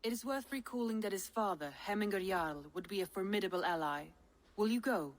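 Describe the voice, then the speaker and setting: A woman acts a Viking clan strategist in a video game. Her voice has a middle pitch, and she speaks formally, with composed, measured counsel. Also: natural voice. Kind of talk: video game dramatic acting.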